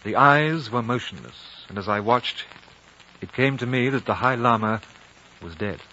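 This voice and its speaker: A man playing a reflective, world-weary adventurer and war veteran in a 1950s radio drama, with measured, wistful delivery on a dull old broadcast recording. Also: mid-range voice, animated, pushed and bright, slight background noise. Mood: somber.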